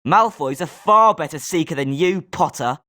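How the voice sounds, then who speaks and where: A man's voice: fairly high pitch, lively intonation, normal speed, bright, forceful tone. A male actor playing a smug, rivalrous schoolboy at a wizard school in scripted video game lines. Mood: scornful.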